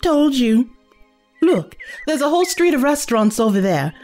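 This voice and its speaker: A woman's voice: high voice, sing-song, normal speed, a little mellow, soft background music. A woman playing a wry, practical young companion in an audio drama, questioning and teasing.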